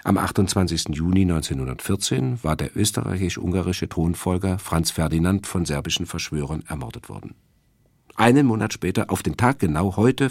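A man delivering a scripted literary radio essay as a presenter, in a measured, emphatic and erudite manner. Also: deep voice, creaky, no room sound, clean studio sound. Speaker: radio presenter introducing a radio play.

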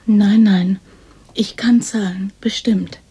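Shy poor orphan girl, young adult, radio play, really breathy, slow n hesitant, meek. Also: mid-range voice, steady tone, mellow. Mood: timid. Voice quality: breathy, whispery. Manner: timid, hesitant, deferential. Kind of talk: radio drama acting.

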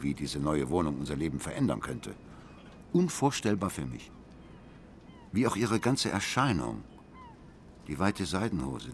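An actor playing a brooding, obsessive husband who narrates a radio drama with cold, deliberate, intense precision. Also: male voice, low-pitched, vocal fry, fairly bright tone, slight background noise, exaggerated voice. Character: brooding, obsessive husband coldly recounting his grim plan. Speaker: first-person narrator of an audio drama. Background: music.

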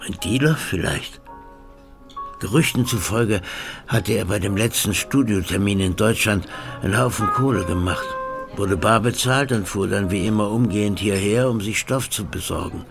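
A man's voice: on the low side, moderate pace, creaky, faint music behind. An actor playing a blunt, cynical local man who brushes things off with coarse words. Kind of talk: radio drama acting.

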